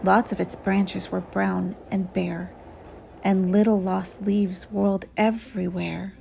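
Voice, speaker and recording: A woman reading a children's story aloud in an expressive storyteller's style, with music behind her. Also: mid-range voice, slow pace, creaky, slight background noise, low-quality recording.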